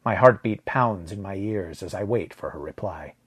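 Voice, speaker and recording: A man's voice: deep voice, normal speed, slightly rough. A man narrating first-person science fiction fiction from a script as a storyteller.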